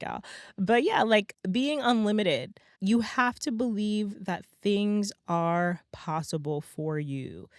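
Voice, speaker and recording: Slightly hoarse woman hosting a chatty podcast. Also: on the low side, no room sound, studio quality. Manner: chatty, emphatic, peppers talk with "right?" and "okay?". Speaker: podcast host. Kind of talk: casual motivational podcast monologue.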